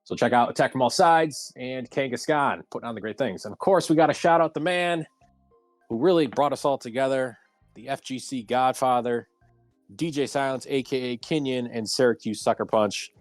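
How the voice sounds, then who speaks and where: A man's voice: medium pitch, normal speed, no echo, faint music behind. Male talk show host, close dry sound. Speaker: host of a community round table show. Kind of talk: casual hosting and chat on a livestreamed talk show.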